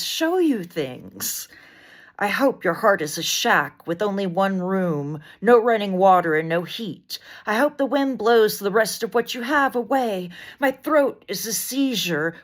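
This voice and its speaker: A woman reading poetry aloud as dramatic spoken word, in an intense, deliberate delivery of short, weighted phrases. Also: on the high side, a bit bright. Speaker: poet reading poems aloud.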